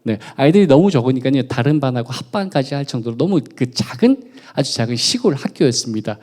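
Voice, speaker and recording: A man's voice: medium pitch, sing-song, fast, a little mellow. A man preaching at a midweek church service, chatty and playful, joking with the congregation and asking them questions. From Gunwi, North Gyeongsang, South Korea. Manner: playful, chatty, jokes and quizzes the audience. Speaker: pastor. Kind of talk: sermon with congregation interaction.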